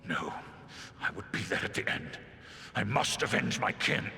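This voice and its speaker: An actor voicing a proud, wounded giant eagle in a fantasy game, speaking solemnly in formal, archaic phrasing. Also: male voice, lively intonation, moderate pace, sharp tone, exaggerated voice. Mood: defiant. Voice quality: very deep, gravelly, breathy. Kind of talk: epic fantasy game voice acting.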